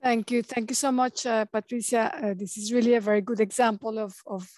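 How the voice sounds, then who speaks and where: A woman in her forties moderating an online panel over a video call, polite and hurried with many fillers. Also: a little high, steady tone, darkish tone, no room sound, studio quality. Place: office on a video call, indoors. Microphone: webcam mic on a video call.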